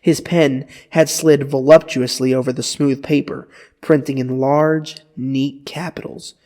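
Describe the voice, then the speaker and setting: A man narrating a dramatized literary audiobook with earnest, dramatic phrasing and deliberate pauses. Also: medium pitch, fairly even intonation, darkish tone. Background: guitar music.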